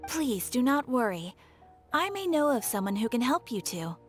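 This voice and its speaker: An actress playing a polite guild receptionist in a video game, speaking in a formal, measured, courteous way. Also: female voice, fairly high pitch, sing-song, soft background music. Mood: helpful, composed.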